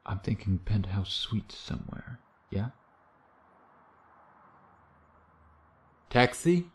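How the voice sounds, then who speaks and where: A man playing a smug, teasing vampire predator in an intimate ASMR roleplay, sardonic and flirtatious, often slipping into breathy murmurs. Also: on the low side, animated, measured pace. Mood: wry, flirtatious.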